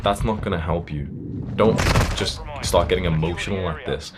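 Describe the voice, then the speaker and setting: A man's voice: low-pitched, normal speed, over loud music. A young man giving a blunt, stern motivational talk as a self-improvement coach, emphatic and swearing freely.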